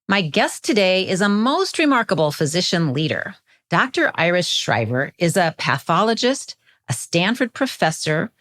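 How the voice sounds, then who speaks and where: A woman's voice: on the low side, a bit bright. A woman hosting a podcast, reading scripted introductions and putting questions to a guest. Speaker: podcast host.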